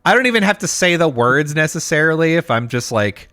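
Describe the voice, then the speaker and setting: A man with a lively, swooping voice tells a story on a podcast, acting out other people's lines between fillers. The voice sits a little high for a man and sounds dry and close, with faint music behind it. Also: a little fast, no room sound. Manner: animated storyteller, acts out quotes, many fillers. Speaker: podcast co-host. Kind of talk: casual chat, storytelling.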